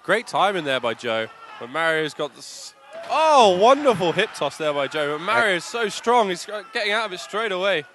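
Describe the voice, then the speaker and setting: A British man giving live MMA commentary, excitable, reacting in quick bursts of praise. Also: high-pitched, slight background noise. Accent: British English.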